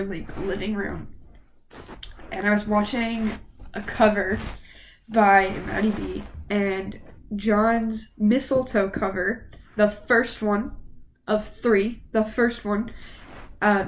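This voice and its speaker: A woman's voice: mid-range voice, a little hiss or hum, poor audio. A woman in her late teens chatting casually to camera as a vlogger, rambling and gushing, a bit sniffly from a cold. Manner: rambling, gushing fan talk.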